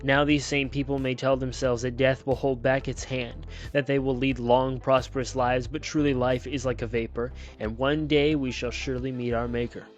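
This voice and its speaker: A man's voice: mid-range voice, flat delivery, moderate pace, hardly any pauses, lo-fi sound, soft background music, lightly acted. A man voicing a smiling male television preacher who sermonizes in a sing-songy cadence. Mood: preaching. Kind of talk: storybook reading.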